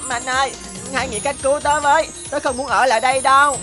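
A woman voicing an excitable, quarrelsome young schoolboy in a cartoon, with a childlike, emphatic and dramatic delivery. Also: high-pitched, quick pace, faint music behind. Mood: distressed. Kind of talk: animated cartoon dubbing.

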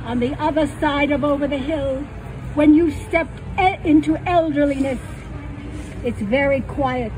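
An older woman giving an animated, dramatic poetry reading on a small outdoor stage under trees, using a stage microphone on a stand. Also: unhurried, low-quality recording. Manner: animated, dramatic delivery with sudden leaps up in pitch. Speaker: poet.

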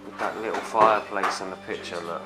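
Urban explorer, low-voiced, excited, music under him. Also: male voice, fairly quick, rough recording. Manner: excited, exclamatory. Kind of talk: casual exploring commentary. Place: abandoned house indoors. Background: background music.

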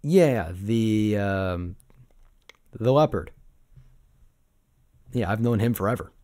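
A man playing an easygoing, sentimental sabertooth boyfriend in an ASMR roleplay, reminiscing in a casual, gently hesitant way. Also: on the low side, animated, slow. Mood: fond, nostalgic.

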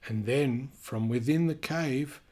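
A man reads a picture book to children in a gentle, expressive manner. His medium-pitched voice is husky and takes its time, slipping into chatty asides between the story lines. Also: slow pace.

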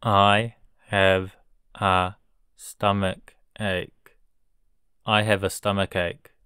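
A man's voice: deep voice, level tone, drawn-out words, bright, forceful tone, clear, resonant tone, no room sound, clean studio sound. A man teaching English words for illness, saying each word three times and then each sentence word by word. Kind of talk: slow drilled vocabulary reading.

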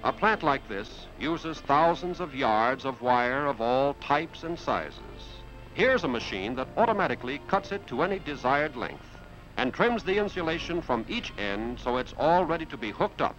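A man's voice: mid-range voice, sing-song, moderate pace, a bit bright. An American man reading a scripted 1950s industrial film narration in an explanatory announcer's delivery. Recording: muffled 1950s film soundtrack with music. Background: background music.

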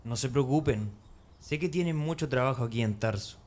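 A man's voice: mid-range voice, fairly quick, darkish tone, natural voice. A man playing an earnest, reassuring young son in a radio drama. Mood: reassuring, affectionate. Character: young, ambitious, reassuring son leaving home.

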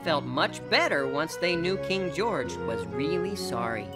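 A man's voice: a little high, very animated, a little slow. A man playing a genial, folksy narrator of a children's cartoon storybook. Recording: music under the narration. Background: music.

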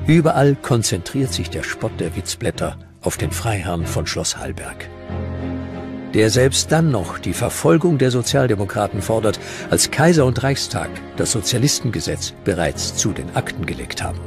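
A man's voice: on the low side, darkish tone. A man reading scripted narration for a historical documentary.

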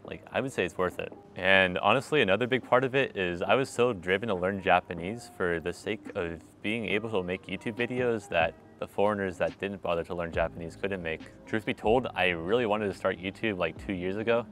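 A man's voice: sits low, a little fast, hardly any pauses, soft background music. Young man vlogging on a night street. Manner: wry, animated, talking straight to the camera. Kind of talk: vlog monologue to camera. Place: city street at night, outdoors. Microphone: small clip-on mic on his shirt.

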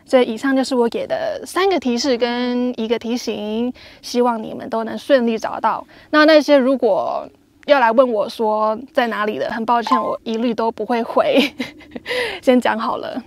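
A woman's voice: fairly high pitch, expressive, normal speed, a little hiss or hum. A young woman casually chatting and explaining clues in a travel vlog, recorded outdoors in desert badlands with a lapel mic. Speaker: travel vlogger. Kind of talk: casual chat.

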